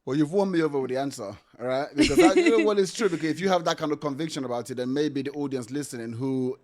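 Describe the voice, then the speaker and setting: A man's voice: on the high side, lively intonation, quick pace, a little mellow. A man hosting a podcast, chatting casually and joking with his guest. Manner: playful, joking, many fillers. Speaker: podcast host. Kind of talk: casual interview chat.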